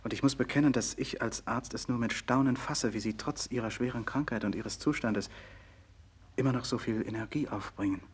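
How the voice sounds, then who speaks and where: An actor playing a respectful, concerned physician and loyal former pupil in a 1960s German radio play, speaking formally and deferentially. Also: male voice, mid-range voice, brisk, husky. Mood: solemn, admiring.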